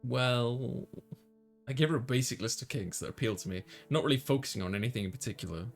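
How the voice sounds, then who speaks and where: A man's voice: medium pitch, fast talker. Man reading a game story over music. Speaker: gaming streamer narrating visual novel. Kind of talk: read-aloud story narration with casual chat. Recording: clear music behind the voice.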